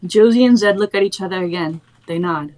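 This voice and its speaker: A woman's voice: mid-range voice, brisk, a little mellow, poor audio. A young adult woman reading stage directions as the narrator of a screenplay.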